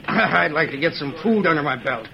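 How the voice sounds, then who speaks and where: Higher-voiced, jovial Western businessman. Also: lively intonation, moderate pace, gravelly. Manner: jovial, bantering. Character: chummy businessman partner back from the East. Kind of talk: old-time radio western acting. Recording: dull old radio recording, crowd behind.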